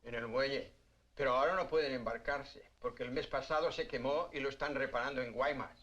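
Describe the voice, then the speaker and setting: A man's voice: medium pitch, fairly even intonation, quick pace, a bit bright, muffled recording, natural voice. An actor playing a polite, helpful Mexican barman in a 1950s film, with a Mexican Spanish accent. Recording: old film soundtrack, background noise.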